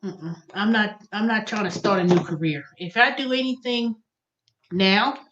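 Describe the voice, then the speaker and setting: A woman in her early fifties with an American accent talks at a medium pitch in a casual, rambling livestream style. She thinks out loud, often repeating and correcting herself. The recording is a little dull and lo-fi. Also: normal speed, slight background noise. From United States. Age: early 50s. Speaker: livestream host.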